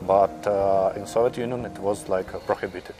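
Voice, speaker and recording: A young man with a Russian accent answering interview questions in halting, searching English with many pauses. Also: deep voice, steady tone, over music. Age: young adult. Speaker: interviewee, new immigrant.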